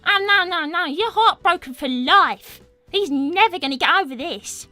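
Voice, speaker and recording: Woman voicing a very high, brash British cartoon girl. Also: animated, moderate pace, pushed and bright, no echo, soft background music, full character voice. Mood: mocking. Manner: cheeky, exclamatory, swearing mildly. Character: brash, gossipy cartoon animal girl, young adult. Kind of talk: improvised in-character livestream chat.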